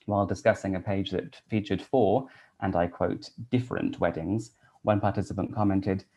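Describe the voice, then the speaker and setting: A man in his thirties from Wales, a teacher and researcher giving a prepared conference talk in a measured, read-out way with soft "um" fillers. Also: deep voice, muffled recording.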